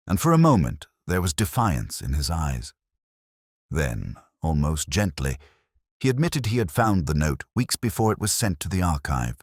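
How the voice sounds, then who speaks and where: A man reading a scripted first-person detective story in a measured, brooding, literary manner. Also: a bass voice, dry recording, clean studio sound. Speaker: narrator.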